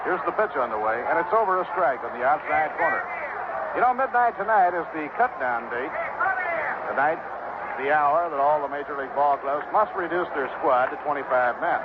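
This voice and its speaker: A man's voice: a little high, expressive, brisk, narrow, tinny audio, over a noisy crowd. An American man calling baseball play-by-play in the style of 1960s radio commentary. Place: outdoor ballpark broadcast position. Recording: thin, very noisy vintage radio broadcast. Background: heavy noise and stadium ambience.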